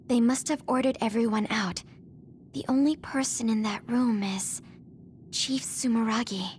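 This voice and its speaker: A woman's voice: on the high side, fairly even intonation, unhurried, a little mellow, a little hiss or hum, lightly acted. An actress playing a worried young female soldier who speaks in a concerned, alert way. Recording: game cutscene with music behind.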